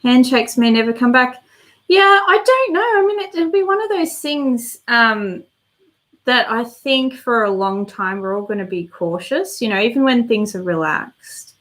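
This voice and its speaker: A woman's voice: a little high, lively intonation, measured pace, a bit bright. A woman hosting a casual live stream and answering viewer comments, chatty and meandering as she thinks aloud, slightly congested with a worn voice.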